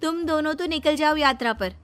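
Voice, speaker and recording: A woman's voice: high-pitched, brisk, fairly bright tone, soft background music, voice pushed up. A woman voicing a teasing, outspoken young adult daughter in a cartoon. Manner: teasing, mocking.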